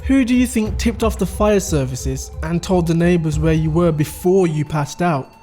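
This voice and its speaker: A man's voice: a little high, moderate pace, warm tone, soft background music, natural voice. A man playing a scheming middle-aged father, explaining things conversationally in a dramatic audio story. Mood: explanatory, uneasy. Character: scheming middle-aged father recounting his wife's crimes. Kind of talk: dramatic dialogue.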